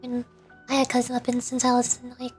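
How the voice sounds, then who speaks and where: A woman's voice: on the high side, completely flat delivery, normal speed, slightly rough. A woman hosting a gaming livestream, chatting casually to viewers in a rambling, playful way. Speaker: livestream host, VTuber. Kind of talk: casual chat to stream viewers while gaming. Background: background music.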